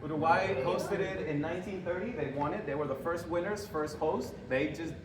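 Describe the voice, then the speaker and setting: Young man from Honduras, fairly high voice, presenter, echoey hall, heard from afar. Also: normal speed, over a noisy crowd. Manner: joking, quizzing the audience, chuckling at own jokes. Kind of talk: lively interactive talk to a live audience. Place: hall with a live audience. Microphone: room mic from a distance. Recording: echoey hall recorded from far off, background noise.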